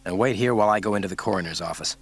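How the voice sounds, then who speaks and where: A man's voice: fairly low pitch, rapid-fire. Man doing a cocky, wry male agent.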